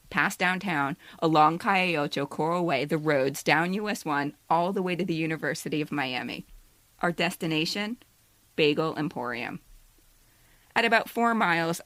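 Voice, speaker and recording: A woman's voice: sits low, fairly bright tone, no echo. A woman in her fifties reading a scripted personal essay as a podcast co-host, with a candid, wry, self-deprecating manner. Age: 50s.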